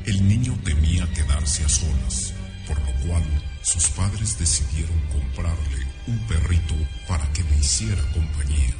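A man playing a grave, sinister storyteller with a Mexican accent, narrating a horror radio drama slowly and ominously. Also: a bass voice. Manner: slow, ominous, deliberate. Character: grave, sinister male teller of a horror tale. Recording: loud music under the voice. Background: loud music.

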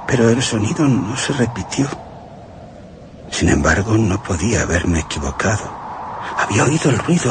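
A terror-struck man, hushed and deep. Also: background noise. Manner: tense, hushed, dramatic delivery. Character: terrified man narrating his own dread. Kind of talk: radio drama reading.

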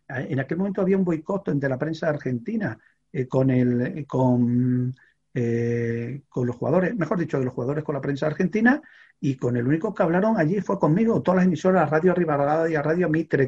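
An older man from Extremadura, a veteran sports reporter, telling an animated story in a studio chat with many fillers and restarts. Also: fairly high pitch, creaky, husky, dull audio. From Extremadura, Spain.

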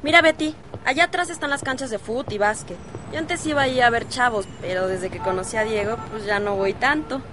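A woman's voice: fairly high pitch, a little fast, background noise, natural voice. An actress playing a chatty, gossipy teenage girl with a Mexican accent, confiding colloquially in a radio soap. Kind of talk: radio soap opera acting.

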